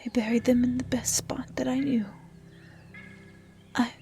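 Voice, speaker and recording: Woman voicing a whispery, gentle forest dryad. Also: on the high side, level tone. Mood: sorrowful, tender. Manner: soft, hushed, whispery, halting and caring. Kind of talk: intimate roleplay audio drama.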